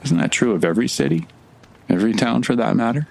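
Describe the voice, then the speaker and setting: An actor in an audio drama playing a tired, irritable, lost time traveler, muttering dryly and sarcastically in a naturalistic way. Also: male voice, medium pitch, lively intonation, fast, gravelly. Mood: wry.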